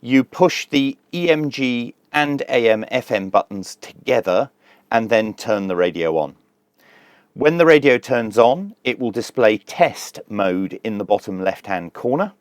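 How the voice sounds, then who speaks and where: A man's voice: medium pitch, animated, measured pace, no room sound. A man presenting a casual hands-on product review, plain-spoken and methodical, with dry humour.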